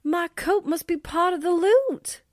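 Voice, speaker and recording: Naive girl sidekick, played by a woman, 1940s radio: very high, slow, hesitant, close dry sound. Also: expressive, darkish tone, no echo. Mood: alarmed. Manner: wide-eyed, hesitant. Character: gullible, naive, flustered young newspaperwoman sidekick.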